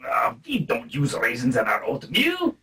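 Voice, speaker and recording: A man's voice: medium pitch, wildly swooping pitch, measured pace, far from the mic, background noise. A man playing a polite but menacing wartime captor and interrogator in a comic radio play, with exaggerated courtesy. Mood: politely menacing.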